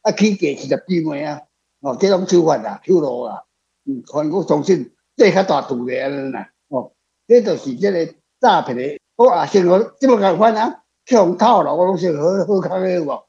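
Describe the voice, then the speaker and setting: An older Taiwanese man chats as a guest author, rambling with frequent tag particles. His voice is gravelly and very mellow, a little high for a man, in a slightly dull recording. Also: lively intonation, brisk. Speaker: author answering readers as a guest. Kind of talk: casual spoken discussion.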